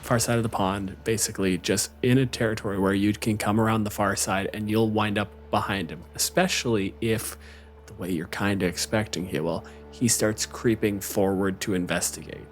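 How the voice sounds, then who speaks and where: A man's voice: fairly low pitch, fairly even intonation, moderate pace, a little hiss or hum. A man narrating an improvised tabletop roleplaying game as game master, in a casual, sweary way. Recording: music playing behind the voice. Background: background music.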